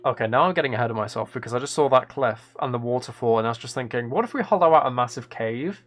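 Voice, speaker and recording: A man's voice: mid-range voice, quick pace, fairly bright tone. A man chatting casually on a gameplay livestream, thinking aloud and rambling enthusiastically through ideas. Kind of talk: casual gameplay stream chat. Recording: clear background noise with keyboard clicking.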